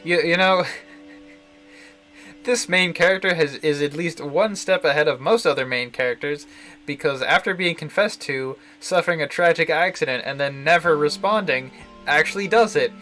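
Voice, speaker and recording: A man's voice: fairly high pitch, normal speed, pushed and bright. A man streaming a visual novel with casual, amused, wry commentary over the game's music. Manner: amused, wry, frequently chuckling. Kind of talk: casual live game commentary. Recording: music playing behind the voice. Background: visual novel music and Japanese voice acting.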